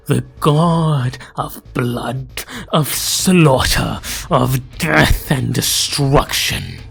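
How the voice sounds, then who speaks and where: A narrator telling dark fantasy lore in an ominous, grandiose, drawn-out style that often sinks into hushed whispers. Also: medium pitch, gravelly. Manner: theatrical, drawn-out delivery with frequent hushed whispers. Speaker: narrator of a fantasy audio drama. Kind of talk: dramatic scripted lore narration.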